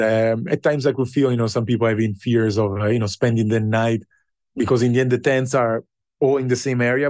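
A man who learned English later in life, a podcast guest telling his story in an unhurried, reflective way with pauses. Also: medium pitch, a little mellow, no room sound. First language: not English. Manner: unhurried and thoughtful, with pauses and fillers.

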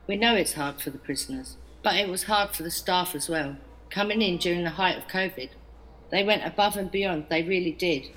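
A measured, earnest English prison manager voiced by an actress talks about staff and prisoners in an audio drama. Her voice sits fairly low and stays reasonable. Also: a bit bright, close to an everyday voice, low-quality recording. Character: woman prison staff member, earnest, defensive.

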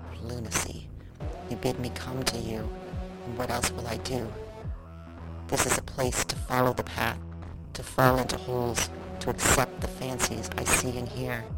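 A woman voicing the spirit of a confined mad woman from the past, speaking in a measured, flat, incantatory way over loud music. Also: rumbling low, vocal fry, full character voice, rough recording. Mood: weary. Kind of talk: audio drama.

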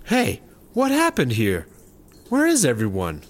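A woman's voice: a bass voice, wildly swooping pitch, a little slow, exaggerated voice. A woman playing an exasperated, skeptical rancher turned reluctant startup worker in a comedy audio drama. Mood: baffled.

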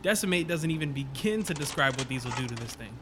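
An actor playing a casual, sarcastic, upbeat technician in a sci-fi audio drama. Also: male voice, mid-range voice, expressive, fast talker, natural voice. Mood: wry. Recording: clear background noise, sound effects.

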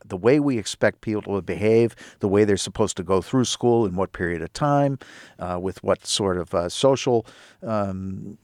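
A man's voice: on the low side, normal speed, darkish tone, dry recording, clean studio sound. A man in his fifties hosting an interview, talking in an earnest, reflective way with many restarts. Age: 50s. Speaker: podcast host. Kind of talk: conversational interview.

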